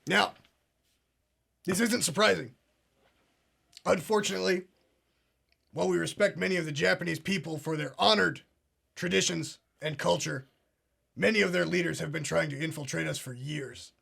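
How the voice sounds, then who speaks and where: A pompous conspiracy show host with a gravelly, husky voice that sits fairly high. He speaks in a solemn, earnest way and plays every claim completely straight. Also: moderate pace, pauses often, sharp tone, heavily acted. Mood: smug earnest. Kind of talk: deadpan parody talk show.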